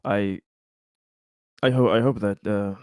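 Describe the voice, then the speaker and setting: A man playing a weary, haunted colony officer in a tabletop roleplay, speaking haltingly and earnestly as he consoles a colleague. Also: lowish voice, fairly even intonation, clear, resonant tone, no echo, studio quality. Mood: grave, grieving. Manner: halting, grave, earnest, sighing. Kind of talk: improvised tabletop roleplay dialogue.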